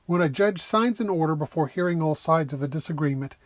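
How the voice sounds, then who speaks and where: A man giving an explanatory narrated lecture in a deliberate, plain-spoken way, repeating points for clarity. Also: on the high side, dry recording. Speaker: lecturer explaining a legal case.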